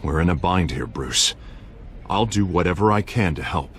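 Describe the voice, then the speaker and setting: An actor playing a harried but loyal district attorney in a video game, frank and expressive. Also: male voice, deep voice, moderate pace. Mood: troubled. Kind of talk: cinematic game dialogue acting. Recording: clear ambient noise behind.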